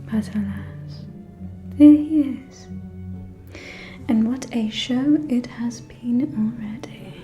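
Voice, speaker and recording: A woman playing a haughty, gossipy vampire noblewoman in a whispered ASMR roleplay, catty and conspiratorial, speaking close to the microphone in drawn-out phrases. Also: on the high side, warm tone, soft background music. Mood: amused, scandalized. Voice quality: breathy whisper with murmured phrases. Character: haughty, gossipy aristocratic female vampire at a ball.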